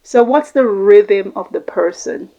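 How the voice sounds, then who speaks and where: A woman's voice: medium pitch, measured pace, warm tone, lo-fi sound. A woman giving an informal explanatory talk, reflective and repeating phrases for emphasis. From Jamaica, Caribbean. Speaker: host giving communication tips.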